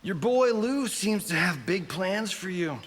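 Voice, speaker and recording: A cocky wisecracking braggart for a video game. He is high-pitched, animated and casually indignant, with long reverb around him. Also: a little slow, a little hiss or hum. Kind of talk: video game character dialogue.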